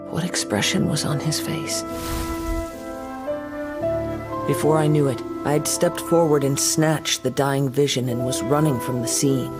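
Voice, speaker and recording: An actor playing a young, poetic wandering samurai in a video game, calm and wistful, with measured, formal phrasing. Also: male voice, medium pitch, close to an everyday voice. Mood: solemn, wistful. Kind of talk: scripted dramatic game dialogue.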